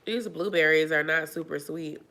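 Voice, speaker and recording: A woman's voice: deep voice, normal speed, a bit bright. A woman chatting casually on a live stream, nasal and stuffy from a cold, rambling with lots of fillers. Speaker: vlogger answering live chat.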